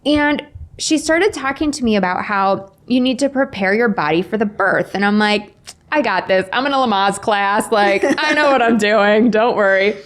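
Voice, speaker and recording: A woman's voice: mid-range voice, normal speed. A woman in her forties, a guest author on a podcast, casually telling personal stories and acting out the dialogue. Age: 40s. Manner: animated, acts out quoted dialogue. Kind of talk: casual anecdotal storytelling. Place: indoors, in-person podcast recording.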